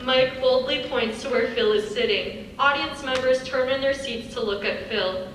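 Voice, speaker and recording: A young woman narrates a script in a live reading, delivering the stage directions in a bright, forceful voice. She is a little high-pitched and sounds distant in a reverberant hall with faint noise. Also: normal speed. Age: young adult.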